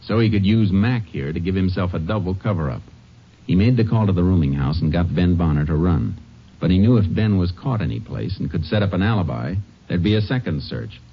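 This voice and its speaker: An actor playing a calm, shrewd Texas Ranger in a 1950s radio drama, speaking in a terse, authoritative, matter-of-fact way. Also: male voice, sits low, brisk, husky, heavily acted. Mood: firm, probing. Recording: muffled, noisy old-time radio recording.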